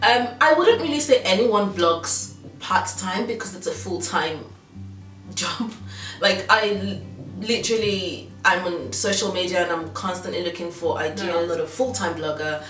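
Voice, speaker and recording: A woman's voice: on the low side, normal speed, a bit bright, soft background music. A woman in her thirties from Nigeria with a slight Yoruba accent, chatting casually to camera as a fashion blogger, rambling with frequent ums and restarts. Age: 30s. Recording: roomy sound from far off.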